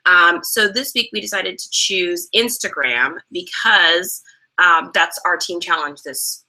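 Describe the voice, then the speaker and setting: A woman's voice: fairly low pitch, measured pace, bright, forceful tone, rough recording. A young woman co-hosting an online sales training, chatting casually and explaining steps with lots of fillers. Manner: chatty, many fillers, laughs easily. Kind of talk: casual instructional talk.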